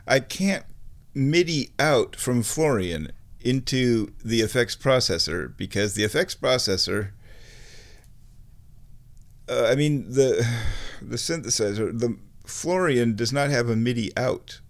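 A man with a medium-pitched voice talks slowly through a casual demonstration of electronic music gear. He is unscripted and halting, rambling as he thinks aloud. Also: lively intonation.

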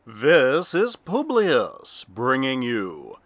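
Slow, bright-voiced male announcer. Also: medium pitch, huge pitch swings, no echo. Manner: slow, drawn-out, declamatory. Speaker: show announcer. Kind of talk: formal show intro announcement.

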